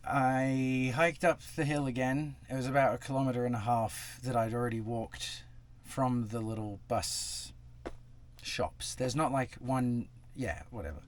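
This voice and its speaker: A man's voice: medium pitch, steady tone, a little slow, a little hiss or hum. A young man talking casually to camera in a travel vlog, rambling with lots of fillers and restarts, sitting in a truck cab. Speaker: travel vlogger. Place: inside a parked truck cab.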